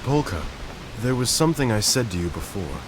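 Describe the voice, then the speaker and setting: A dying young composer, soft and reflective. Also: male voice, on the low side, big pitch swings, fairly quick. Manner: soft, reflective, searching delivery. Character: ailing young male composer, gentle and melancholic. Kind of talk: video game cutscene dialogue. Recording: hissy game audio capture.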